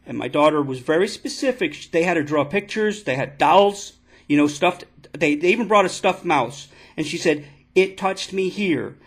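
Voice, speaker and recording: High-pitched aggrieved dad , acted by a man. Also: slightly rough. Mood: solemn, insistent. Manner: halting, repetitive, insistent, addressing listener as ma'am. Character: aggrieved, indignant father lodging an absurd complaint. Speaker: prank caller. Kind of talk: prank phone call, mock complaint.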